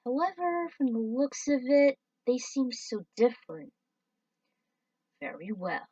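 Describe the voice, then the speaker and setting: A woman's voice: sits high, expressive, measured pace, mellow, no room sound, recorded from a distance, lo-fi sound. A woman reading her own fan story aloud in a casual, offhand way. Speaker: fan-fiction reader.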